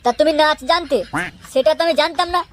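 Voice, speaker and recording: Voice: sits high, steady tone, fast talker, noisy background, natural voice. A performer voicing a brash, foul-mouthed, hot-headed young man in a comedy cartoon, complaining and confrontational. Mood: nosy, impatient. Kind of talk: comedy cartoon dialogue in colloquial Bengali. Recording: music behind the voice.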